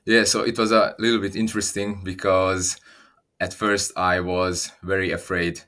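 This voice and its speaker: Young man, deep and even, measured guest answers. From Slovakia. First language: Hungarian. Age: 20s. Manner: careful, repeats words while thinking. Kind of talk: interview answers.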